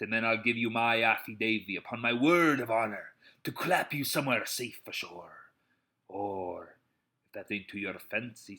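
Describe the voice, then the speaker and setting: A crafty old storybook pirate, with a growl and a very bright, forceful edge. He swaggers and bullies in rough sailor talk. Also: fairly low pitch. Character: crafty, bullying old sea pirate with a crutch.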